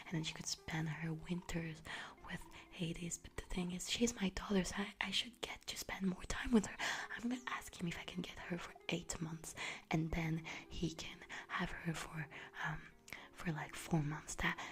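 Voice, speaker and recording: A woman playing an anxious, scatterbrained mother goddess in an ASMR roleplay, speaking in a halting, rambling, breathy whisper and repeating herself. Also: lowish voice, fairly even intonation, lightly acted. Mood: musing, fretful. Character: anxious, scatterbrained Greek harvest goddess, mother seeking her daughter.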